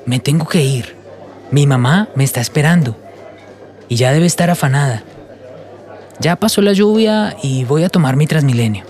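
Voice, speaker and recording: A man's voice: fairly high pitch, expressive, moderate pace, pauses often, a little mellow, lightly acted. A man playing an earnest, polite young student with a Colombian accent in an audio drama. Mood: polite, hurried. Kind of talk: audiobook radio-drama acting. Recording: music behind the voice.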